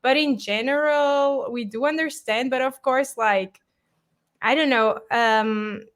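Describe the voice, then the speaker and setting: A Portuguese woman speaks English with a European Portuguese accent as a guest on a live lesson. Her voice is fairly high and she talks in an easy, chatty way, full of fillers and hedges. She is heard over a video call. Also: takes it slowly, fairly bright tone. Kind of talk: casual conversation on a live stream.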